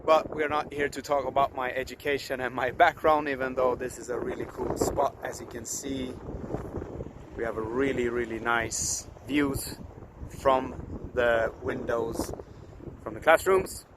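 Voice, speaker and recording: A man's voice: medium pitch, steady tone, unhurried. A young man with a Swedish accent, chatting casually and upbeat about his new car in a vlog. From Sweden. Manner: chatty, upbeat, self-deprecating asides. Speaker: car vlogger. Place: outdoors at a fuel station and by a car. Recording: outdoor wind and ambient noise.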